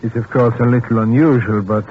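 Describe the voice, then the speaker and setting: An actor playing a polite, soothing psychiatric doctor in a 1940s radio drama, speaking in a courteous, measured, slightly hesitant way. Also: male voice, mid-range voice, steady tone, close to an everyday voice. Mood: businesslike. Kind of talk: 1940s radio suspense acting. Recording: muffled, noisy 1940s radio recording.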